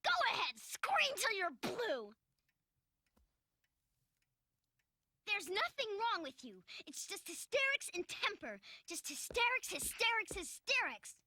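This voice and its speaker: A woman playing a spirited, headstrong young girl in an animated drama, blunt, bossy and emphatic. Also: very high-pitched, moderate pace, few pauses, no room sound, studio quality, exaggerated voice. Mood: angry, scolding.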